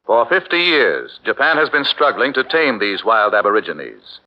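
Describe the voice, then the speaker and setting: A man narrating a 1940s radio documentary drama with a measured, declamatory delivery. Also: on the low side, big pitch swings. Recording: muffled 1940s radio broadcast with music.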